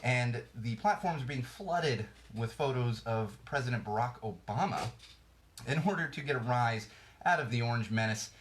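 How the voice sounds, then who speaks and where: A man's voice: mid-range voice, animated, moderate pace, slight background noise. A man hosting a podcast, ranting bluntly about current events, his voice slightly worn as he loses it. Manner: blunt, ranting, frequent restarts. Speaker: podcast host. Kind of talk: ranting political commentary.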